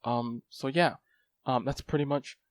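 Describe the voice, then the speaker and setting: A man's voice: mid-range voice, fairly even intonation, measured pace, dry recording. A man talking casually to camera about his language study, rambling and filling his talk with "like" and "um". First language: English. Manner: rambling, lots of "like" and "um" fillers. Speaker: language-learning vlogger. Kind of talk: casual talking-head update.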